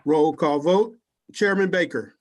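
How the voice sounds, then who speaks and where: A man's voice: a little high, a little slow. A man speaking as a clerk in a virtual public meeting, formally announcing agenda items and roll calls in a procedural way.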